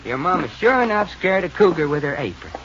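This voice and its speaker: Feisty, high-pitched Southern cowboy. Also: male voice, big pitch swings, brisk, fairly bright tone. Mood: sarcastic. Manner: drawling folksy slang, blustering. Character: folksy, feisty, boastful cowboy adventurer, easily riled. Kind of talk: 1940s radio adventure serial acting. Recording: dull, noisy old radio recording.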